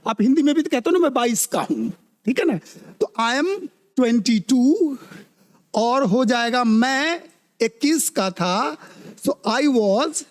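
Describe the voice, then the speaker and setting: A man's voice: very high-pitched, animated, big-room sound. A man teaching spoken English in Hindi, drawing out his words and constantly asking the class whether they have understood. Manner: slow, drawn-out words, constantly checks understanding with questions.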